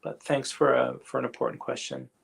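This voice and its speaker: A man answering audience questions in an online lecture, speaking thoughtfully with many fillers, pauses and restarts. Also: medium pitch, sing-song, creaky, breathy edge. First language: English. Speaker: guest lecturer.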